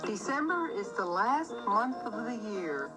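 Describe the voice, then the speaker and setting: Female children's book reader, lively melodic range, slow pacing, expressive, music in the background. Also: medium pitch. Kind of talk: scripted storybook narration.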